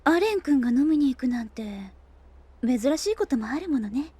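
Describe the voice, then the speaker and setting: Sweetly unaware female coworker, high voice, close dry sound, musing, audio drama. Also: animated, normal speed, dark tone, dry recording. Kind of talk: Japanese audio drama acting.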